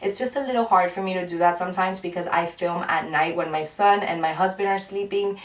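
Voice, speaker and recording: A woman's voice: on the low side, fairly quick. Woman in her twenties, roomy distant sound. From Cuba. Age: 20s. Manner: rambling, candid and heartfelt. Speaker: beauty vlogger. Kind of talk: casual chat to camera. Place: room at home. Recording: roomy echo, off-mic, faint hiss.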